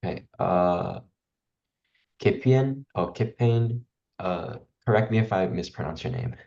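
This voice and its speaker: A man's voice: deep voice, measured pace, creaky, warm tone, lo-fi sound. A man organizing an online class, calling on participants one by one in a brief, matter-of-fact way. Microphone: video call.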